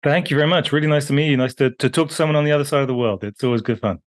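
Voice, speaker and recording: A British man in his fifties, a guest author on a remote call, telling his story in a lively way that jumps up in pitch for emphasis. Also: very fast. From Britain. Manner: animated, pitch jumping up on emphasis, frequent restarts. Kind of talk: conversational interview storytelling.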